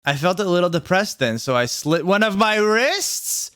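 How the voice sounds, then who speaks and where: A man's voice: high-pitched, lively intonation, moderate pace, fairly bright tone, clean tone, pitched above the performer's own voice. A man voicing a self-absorbed, melodramatic seventeen-year-old goth vampire witch girl narrating a fan fiction story. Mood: dramatic, gloomy.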